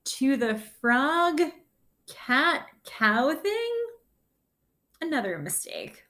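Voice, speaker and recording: A woman teacher giving a read-aloud lesson for children, reading a picture book with gentle, deliberate, expressive phrasing and her own commentary. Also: sits high. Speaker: sixth grade teacher.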